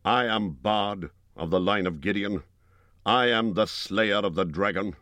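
A man's voice: lowish voice, unhurried, fairly bright tone, no room sound. Male reader as proud dragon-slaying archer, fierce, declamatory, heroic, fantasy storybook, dry recording.

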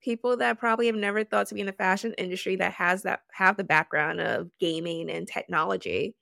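A woman hosting a podcast interview who reacts quickly and encourages her guest. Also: medium pitch, creaky, sharp tone, no echo, studio quality. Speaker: podcast host.

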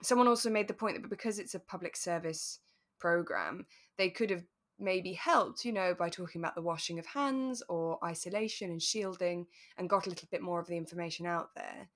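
A woman with a slightly low-pitched voice talks as a podcast co-host. She chats in a relaxed, conversational style, thinking things over with a sympathetic manner. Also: a little fast. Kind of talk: relaxed chat discussing a radio drama.

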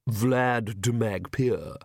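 A man's voice: mid-range voice, drawn-out words, creaky, a little mellow, no echo, studio quality, exaggerated voice. A man voicing a suave young aristocratic vampire in an audiobook, courtly, drawling and condescending. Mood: smugly polite. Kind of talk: audiobook character voice.

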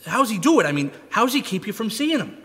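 High, sharp-voiced jumpy gangster henchman played by a man. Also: sing-song, quick pace, heavily acted. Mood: nervy, gruff. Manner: slangy, ungrammatical tough talk. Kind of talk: live radio drama acting. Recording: echoey live hall, some noise.

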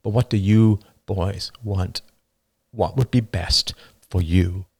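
A man voicing an earnest adult male counselor who reasons in quick, urgent bursts. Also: on the low side, gravelly, a little mellow, exaggerated voice. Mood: gentle.

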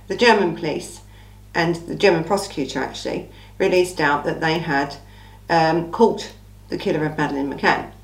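A woman with an English accent and a slightly croaky, under-the-weather voice, hosting a casual true-crime Q&A in an informal, emphatic way with rhetorical asides. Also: deep voice. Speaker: true-crime channel host. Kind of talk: casual chatty Q&A monologue. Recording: echoey room.

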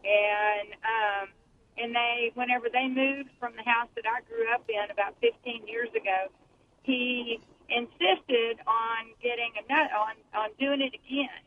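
A woman's voice: a little high, fairly even intonation, unhurried, phone-quality sound, slight background noise. A woman with a Texan accent calling in from her car, telling a rambling story in casual chat. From Texas Panhandle. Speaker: caller. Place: driving in a car. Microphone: phone.

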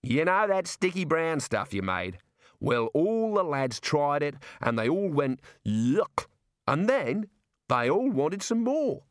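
A hearty jailer from a comic storybook, played by a male narrator, chummy and admiring. He talks colloquially with raised, swooping pitch in a dry, close sound. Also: very animated, slow, dry recording. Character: cheerful, matey male prison jailer, rough and admiring.

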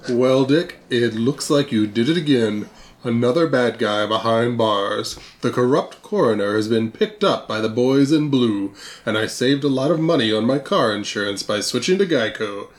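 A man's voice: medium pitch, moderate pace, close to an everyday voice. Man acting a dry noir police boss. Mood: dry, satisfied. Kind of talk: parody radio mystery acting.

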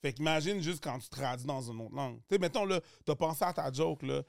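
Animated Québécois man. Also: on the high side, fairly quick, vocal fry, dry recording, clean studio sound. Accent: Quebec French. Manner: animated, switches accents and voices while explaining. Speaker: comedian guest. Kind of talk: casual podcast chat. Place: podcast studio. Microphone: studio mic.

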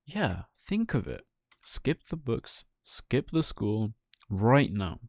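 Guy doing sly coachman tempter, smooth-talking and coaxing, kids storybook baddie, recorded dry. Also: medium pitch, sing-song, slow, a little mellow, dry recording, full character voice. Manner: coaxing, persuasive. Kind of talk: children's storybook villain voice.

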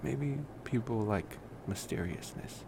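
Man acting as young male vampire, low creaky voice, relaxed affectionate rambling, ASMR roleplay, noisy recording. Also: full character voice. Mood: casual, wry. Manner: relaxed, hesitant rambling with sighs and pauses. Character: laid-back, rambling college-age male vampire, affectionate and wry. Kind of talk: ASMR roleplay, intimate casual monologue.

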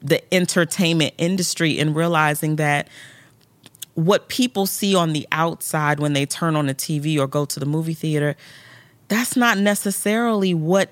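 A woman's voice: deep voice, moderate pace, no echo. A woman, an actress, as a guest on an interview podcast, telling stories animatedly and acting out quotes with big jumps in her voice, in a studio. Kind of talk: interview storytelling.

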